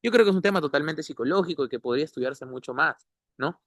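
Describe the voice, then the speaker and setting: A man, a guest giving measured, academic analysis, often ending points with tag questions. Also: on the high side, lively intonation, a bit bright, dry recording, studio quality. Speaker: interview guest. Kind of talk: analytical conversational commentary.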